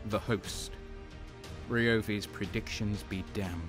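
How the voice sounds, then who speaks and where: Man playing grim traitor guardsman, slightly low voice, clipped dramatic narration, intense, audio drama, clear music behind. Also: fairly even intonation, slow pace. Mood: grim resolve. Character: grim, battle-worn male traitor soldier narrating his fight.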